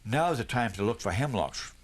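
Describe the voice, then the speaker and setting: Male narrator as steady farm dad, kind and plain-spoken, gently encouraging, children's storybook reading. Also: mid-range voice, normal speed, natural voice.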